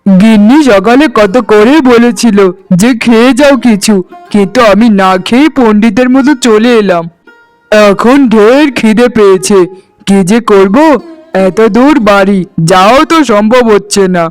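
A man voicing a tired, gentle middle-aged farmer father in a cartoon, weary and fatherly. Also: high-pitched, measured pace, clear, resonant tone, soft background music. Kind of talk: cartoon voice acting.

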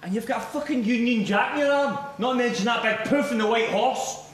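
A man's voice: extremely high pitch, a little fast. A man playing an indignant, needling Scottish football rival who argues with sarcasm and swearing. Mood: argumentative. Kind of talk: heated comic banter. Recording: very echoey, distant room, faint noise.

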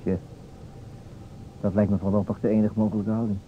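A man's voice: lowish voice, little pitch movement, brisk, close to an everyday voice. An actor playing a tall, thin, sardonic policeman in an old radio play. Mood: dry, hesitant. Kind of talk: old-time Dutch radio play acting. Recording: dull old radio recording, faint hiss.

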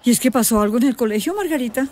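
A woman's voice: a little high, animated, racing, darkish tone. An actress playing a protective, determined and affectionate mother with a Colombian accent in a radio drama. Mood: concerned.

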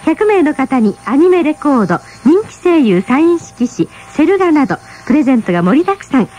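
A woman's voice: high-pitched, dark tone, a little hiss or hum. A woman reading scripted programme credits and notices as a radio announcer. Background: music.

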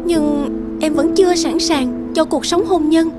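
A young cartoon woman with a high voice, anxious and hesitant in love. She speaks in dubbed animated dialogue over clear background music. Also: measured pace. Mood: apologetic, reluctant. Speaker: voice actor in an animated moral tale. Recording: music behind the voice.

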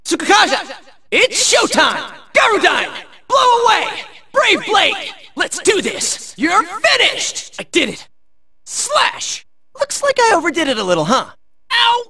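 An American man playing a cocky, excitable teenage boy in a video game, barking out brash, high-strung battle lines. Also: measured pace, no room sound, heavily acted. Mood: breezy, self-satisfied, then panicked, strained.

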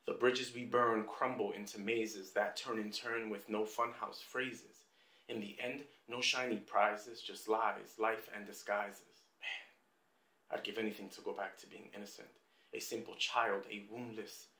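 A man performing a troubled figure confessing a painful past in an emotive, poetic film monologue with pauses and hushed drops. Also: on the low side, level tone. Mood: wistful, frustrated. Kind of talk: dramatic film monologue with rhymed spoken-word poetry. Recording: roomy, echoing amateur film sound.

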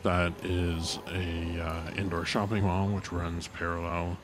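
A man narrating a casual walking tour of a city street outdoors, halting with many fillers and restarts. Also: sits low, level tone. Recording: noisy street traffic behind.

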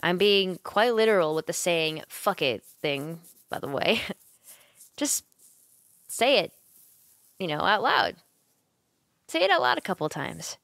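Woman acting affectionate young roommate, slow and creaky. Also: on the low side, big pitch swings, fairly bright tone, dry recording, heavily acted. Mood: comforting, casual. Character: affectionate young female roommate, comforting, teasing, sleepy. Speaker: roleplay voice actor. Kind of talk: ASMR comfort roleplay. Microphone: close microphone, dry.

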